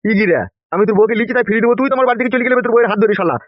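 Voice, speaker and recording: A man's voice: very high-pitched, rapid-fire, dry recording. A man voicing a boastful, threatening corrupt politician in a comic cartoon. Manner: threatening, swaggering. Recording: dull lo-fi sound.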